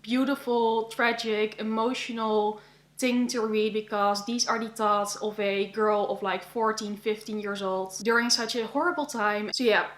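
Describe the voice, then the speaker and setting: A woman's voice: mid-range voice, steady tone, unhurried, fairly bright tone. Young Dutch woman recommending books, echoey room. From Netherlands. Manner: chatty, earnest, thinks aloud with "yeah" and "you know". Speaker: book vlogger. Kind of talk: casual book recommendations to camera.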